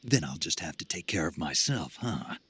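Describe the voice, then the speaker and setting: A hardened American special forces soldier from a video game. His voice sits very low and is rough and gravelly. He talks tersely, with wry, sardonic bite. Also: sing-song, normal speed, sharp tone, dry recording, heavily acted. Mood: wry, questioning. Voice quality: gravelly, husky. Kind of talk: video game cutscene acting.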